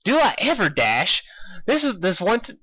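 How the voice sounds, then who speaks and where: A high, bubbly female pony acted out by a man chatters away excitably. The voice is bright and forceful, made for a cartoon party planner in a read-aloud story. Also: normal speed, breathy edge, no room sound, full character voice. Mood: frantic.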